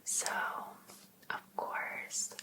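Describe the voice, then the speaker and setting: A woman playing a sweetly pushy, possessive female life coach in an ASMR roleplay, coaxing one client in a whisper. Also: extremely slow. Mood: soothing, probing.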